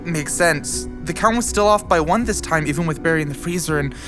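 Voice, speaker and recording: A man's voice: a little high, brisk, over music, close to an everyday voice. An actor playing an anxious, earnest young man turned amateur detective in an audio drama, urgent and hesitant. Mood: earnest, insistent.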